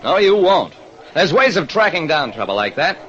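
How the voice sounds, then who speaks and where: A man playing a wry, steady American private detective, narrating colloquially in 1940s radio drama style. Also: fairly high pitch, moderate pace. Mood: brisk, steady. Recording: dull, clearly noisy old radio recording.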